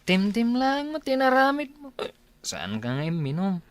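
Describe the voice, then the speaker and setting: Man playing a jokey Filipino pal, wildly animated. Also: high-pitched, measured pace, full character voice. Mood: playful, cajoling. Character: jokey, drink-loving young bachelor pal in village comedy. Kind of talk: Filipino radio drama acting.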